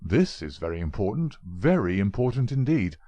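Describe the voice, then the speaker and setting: A cool, confident detective who questions in a measured, probing way, read by a man. His voice is low-pitched and steady, close to the reader's own. It has a dry, close sound. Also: no echo. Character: sharp, confident male detective, middle-aged.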